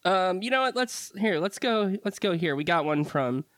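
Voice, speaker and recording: A man's voice: sits high, no echo. A man hosting a baseball podcast, chatting casually and laughing often. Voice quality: laughs often, relaxed and unhurried. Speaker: podcast host.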